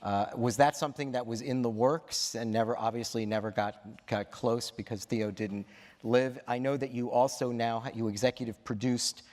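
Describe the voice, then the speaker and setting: A man's voice: mid-range voice, fairly even intonation, moderate pace, darkish tone. A man moderating a public event, hesitantly reading audience questions aloud. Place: large hall. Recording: reverberant hall.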